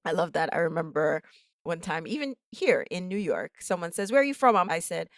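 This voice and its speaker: Lower-pitched woman hosting, dry sound. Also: sing-song, brisk, no echo. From Jamaica. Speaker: podcast host. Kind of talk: conversational interviewing.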